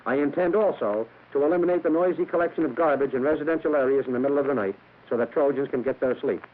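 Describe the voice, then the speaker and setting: A man's voice: medium pitch, fast talker. An American man reading a formal, stiff scripted political address as a candidate. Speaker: mayoral candidate. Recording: tinny, hissy vintage radio recording.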